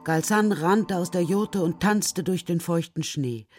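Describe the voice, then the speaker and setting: A woman's voice: on the low side, unhurried, darkish tone, faint music behind. A woman narrating a children's radio play in a scripted literary storytelling style.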